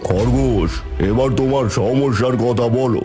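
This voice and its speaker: A regal cartoon tiger played by a man, medium in pitch and full of authority. He pronounces judgement sternly and indignantly over loud music. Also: moderate pace, close to an everyday voice. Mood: judging. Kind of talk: animated children's fable dialogue.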